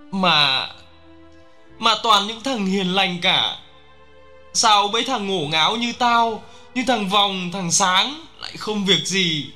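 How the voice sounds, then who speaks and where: A man's voice: high voice, sharp tone, pitched above the performer's own voice, full character voice. A man voicing a young soldier who cries out in anguish and grief, in a war memoir audiobook. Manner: anguished, crying out at full voice. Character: young male soldier screaming in grief for fallen comrades.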